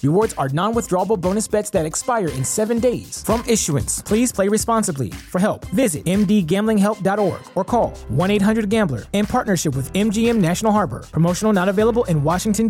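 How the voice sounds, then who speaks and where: A woman with a medium-pitched voice delivers a scripted commercial read. Light music plays behind her. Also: lively intonation, quick pace, few pauses, a little mellow. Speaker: advertisement voice-over.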